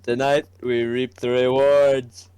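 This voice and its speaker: A man's voice: medium pitch, level tone, slow, a little mellow, smooth, faint music behind, natural voice. A man playing an affable, jesting friend of a president in a game, jovial and lightly teasing.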